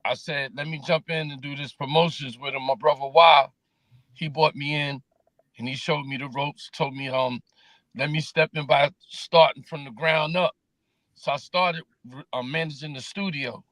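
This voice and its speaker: New guy, laid-back street talk, kinda high voice, dry close sound. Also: fairly even intonation, normal speed, fairly bright tone, no echo, poor audio. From New York City. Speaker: interview guest, music promoter. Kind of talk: casual interview chat.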